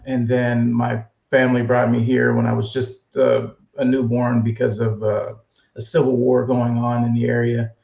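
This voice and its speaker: A man's voice: mid-range voice, creaky, darkish tone. A man as a podcast guest, telling his story in an easygoing way. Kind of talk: casual interview answers. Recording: echoey room, dull lo-fi sound.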